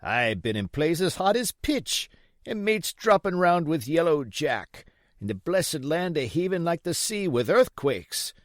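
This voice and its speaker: A man voicing a sick, desperate old pirate in a storybook, wheedling and blustering in rough sailor's dialect. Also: fairly high pitch, big pitch swings, unhurried, no room sound, clean studio sound, pitched above the performer's own voice. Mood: indignant, pleading. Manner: rough nautical dialect, pleading and cursing.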